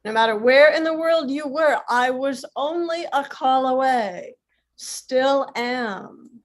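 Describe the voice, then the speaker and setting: Actress playing a high-voiced, wry grandmother. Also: takes it slowly. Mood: fond. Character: grandmother, wry, protective, gently firm. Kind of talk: staged play reading.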